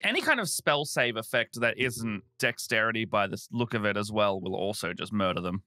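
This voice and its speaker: A man's voice: lowish voice, expressive, a little fast, bright, forceful tone, dry recording. A man chatting casually as a panelist on a podcast. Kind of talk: casual chat.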